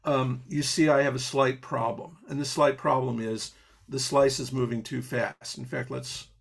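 A man's voice: medium pitch, flat delivery, moderate pace, vocal fry. A man teaching an audio programming patch step by step on a video call, explaining as he goes with fillers and restarts. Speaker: software instructor. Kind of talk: live online coding demo.

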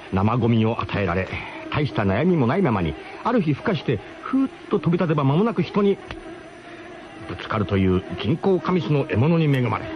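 A man narrating a radio drama as comic first-person storytelling, in an emphatic, mock-grandiose manner. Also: on the high side. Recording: muffled radio broadcast with clear background noise.

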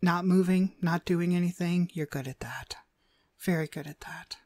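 Woman acting as a contemptuous demonic mistress, low-pitched, haughty scolding, dry close sound, ASMR roleplay. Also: a little mellow, dry recording, natural voice. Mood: cold contempt. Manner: haughty, slow, scolding delivery with drawn-out emphasis. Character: cruel, contemptuous female dog trainer, demonic domineering mistress.

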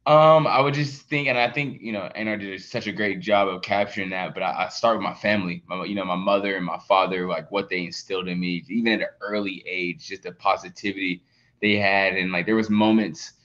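A man's voice: deep voice, fairly even intonation, a little fast, a bit bright. A man in his thirties on a video call, answering interview questions earnestly, repeating words and using many fillers. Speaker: documentary subject, athlete. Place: indoor room with plain wall, video call. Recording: dull lo-fi video call audio.

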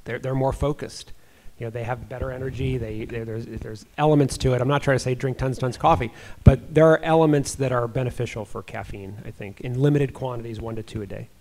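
A man's voice: mid-range voice, fairly even intonation, fairly quick, few pauses, darkish tone. A middle-aged man, a doctor giving informal answers on a panel through a handheld microphone. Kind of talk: informal expert Q&A. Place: auditorium stage. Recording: faint background noise.

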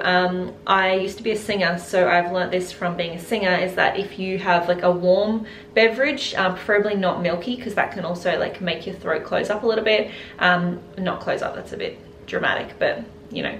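A woman chatting casually to camera as a health vlogger, recorded indoors at home. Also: medium pitch. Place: indoors in a home kitchen and living room. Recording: roomy echo with clear background noise.

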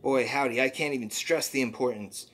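A man's voice: medium pitch, brisk, fairly bright tone, natural voice. A man voicing a cheery, folksy little male poop creature from a comic, who keeps saying "ooh-wee.". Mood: chirpy.

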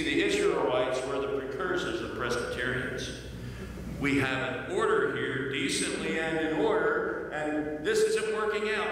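Older pastor preaching in echoey sanctuary. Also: male voice, fairly high pitch. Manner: storytelling with playful asides and lively voiced quotes. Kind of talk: sermon. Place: large church sanctuary. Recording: echoey hall from far off.

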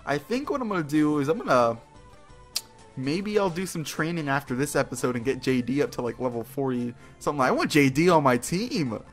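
A man's voice: a little high, animated, a little fast, soft background music. A man hosting a video game playthrough with casual, rambling, playful commentary, slangy and often repeating his phrases.

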